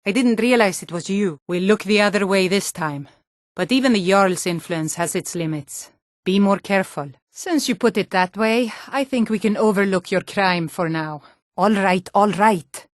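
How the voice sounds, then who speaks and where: A woman's voice: mid-range voice, normal speed, no room sound, clean studio sound, lightly acted. An actress playing a tough, blunt Nordic warrior woman in a fantasy video game, speaking firmly with a Nordic accent. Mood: measured. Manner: firm, blunt.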